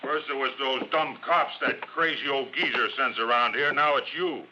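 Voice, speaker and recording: An actor playing a dim, belligerent working-class American crook in a golden age radio drama, slangy and ungrammatical. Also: male voice, mid-range voice, moderate pace, noisy background, close to an everyday voice. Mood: blustering, irritated. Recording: dull old radio recording.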